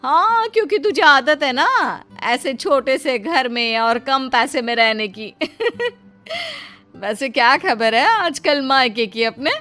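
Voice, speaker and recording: Lady doing a snooty bragging auntie, high voice, talks down to poorer relatives, slow storybook drama, music behind. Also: very animated, pushed and bright, heavily acted. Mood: condescending. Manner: condescending, scornful. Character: haughty, boastful married aunt, sneering at poorer relatives.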